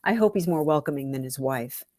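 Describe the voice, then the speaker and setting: A woman reading her own first-person story aloud with wry, deliberate, dramatic phrasing. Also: deep voice, expressive, darkish tone, dry recording, studio quality.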